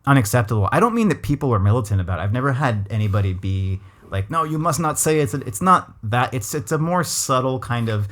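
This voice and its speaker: A man's voice: mid-range voice, lively intonation, fast, hardly any pauses, fairly bright tone. A man from Los Angeles with a West Coast American accent, hosting a podcast in a thoughtful, casual chat with many restarts. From Los Angeles, USA. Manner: thoughtful, full of restarts and hedges. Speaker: podcast host. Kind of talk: casual conversational interview.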